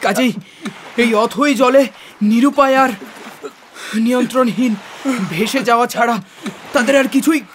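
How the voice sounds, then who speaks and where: An actor playing a loyal young manservant in an audio drama, speaking in an earnest, measured way. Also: male voice, extremely high pitch, steady tone, fairly bright tone, a little hiss or hum. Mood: grave, resigned. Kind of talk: Bengali audio drama acting.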